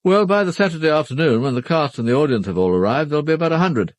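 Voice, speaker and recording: An actor playing a formal, upper-class British knight in a radio drama, speaking in a clipped, matter-of-fact way. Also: male voice, on the high side, lively intonation, very fast, no room sound, close to an everyday voice. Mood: brisk, businesslike. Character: formal upper-class husband, a titled knight hiring a detective.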